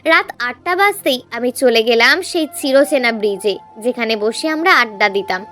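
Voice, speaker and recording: A woman's voice: on the high side, animated, moderate pace, pushed and bright, faint music behind. A woman reading a scripted, emotional first-person story as a narrator.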